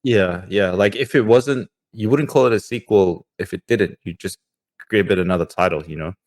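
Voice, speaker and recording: Male gaming podcast co-host, very low voice, informal conversational delivery. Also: moderate pace, a little mellow. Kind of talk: casual chat about games.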